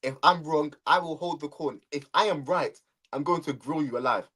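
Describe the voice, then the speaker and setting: A man, a guest on a live football chat, giving relaxed, opinionated fan talk. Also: on the high side, normal speed.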